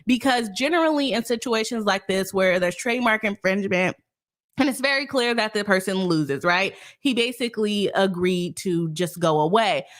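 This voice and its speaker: A woman's voice: medium pitch, animated, moderate pace. A woman attorney hosting a casual live stream, explaining a legal case plainly and reading chat aloud, sounding stuffy from a cold. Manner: chatty, explains legal terms plainly, reads chat aloud, laughs often.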